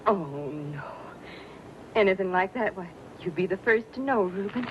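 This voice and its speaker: An actress playing a plucky, gently teasing pioneer wife with a plainspoken, soothing manner in an old time radio western. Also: female voice, medium pitch, animated, moderate pace, natural voice. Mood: reassuring. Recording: dull, noisy old radio recording.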